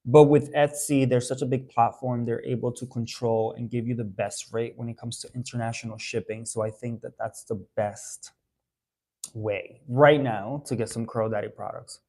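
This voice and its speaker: Young adult man, mid-pitched, hosting a live stream; loose, chatty delivery with frequent fillers and restarts. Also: fairly even intonation, normal speed, darkish tone. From Dominican Republic. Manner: chatty, reads out viewer comments, many fillers and restarts. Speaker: haircare brand owner answering live viewers. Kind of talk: casual live-stream chat.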